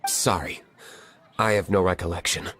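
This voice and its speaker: An actor voicing a curt, aloof tavern owner in a video game, dry, cold and dismissive. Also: male voice, deep voice, normal speed, a little hiss or hum. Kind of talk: dramatic game dubbing. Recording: loud music behind the voice.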